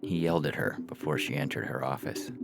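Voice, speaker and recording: Very deep, gravelly male story narrator. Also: fairly quick. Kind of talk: scripted fiction narration. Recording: music bed behind the voice.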